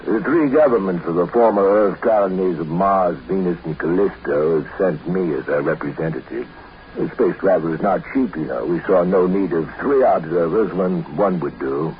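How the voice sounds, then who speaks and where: An actor playing a wry, skeptical, rather haughty envoy from colony planets in a 1950s radio drama. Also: male voice, deep voice, moderate pace, a little hiss or hum, lightly acted. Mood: reserved. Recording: muffled 1950s radio recording.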